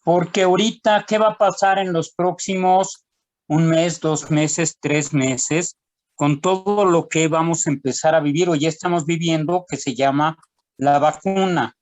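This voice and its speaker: A man with a fairly high voice leads a panel discussion. He talks slowly and deliberately, setting up questions for his guests. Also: fairly even intonation.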